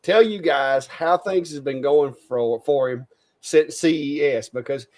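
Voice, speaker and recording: A man's voice: on the high side, measured pace, a little mellow. Chatty, easygoing man hosting. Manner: chatty, easygoing, stumbling restarts. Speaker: host. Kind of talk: casual live-stream chat.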